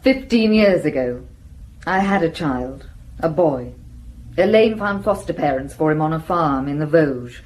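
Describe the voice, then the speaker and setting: A woman's voice: deep voice, expressive, measured pace, darkish tone, poor audio. An actress playing a forthright, worldly woman in a radio drama, blunt, wry and self-possessed. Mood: reflective.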